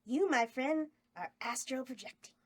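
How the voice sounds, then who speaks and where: A woman's voice: high voice, animated, moderate pace. A woman reading a flirty, bawdy horror hostess at a table read. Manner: bawdy, giggly. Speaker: cast member in table read.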